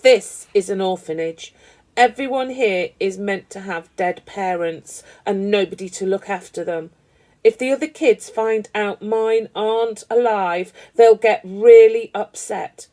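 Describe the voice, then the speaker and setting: A woman reading a children's story aloud with animated, dramatic emphasis. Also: medium pitch, a little slow. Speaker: storybook reader.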